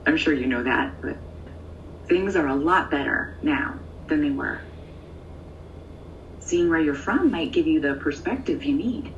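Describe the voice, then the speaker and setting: Middle-aged mom on the phone, unhurried, lowish, tender and hesitant, dull distant line. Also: female voice, animated. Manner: hesitant, motherly. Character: tender, eager, unpretentious middle-aged birth mother on a phone call. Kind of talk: naturalistic audio drama acting. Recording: distant muffled phone, faint noise.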